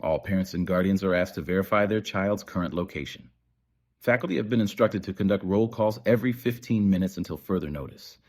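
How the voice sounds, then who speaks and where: Low-pitched man reading an ominous official announcement. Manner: formal, measured, ominous. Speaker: narrator of an analog horror broadcast. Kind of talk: scripted horror narration as an official emergency announcement.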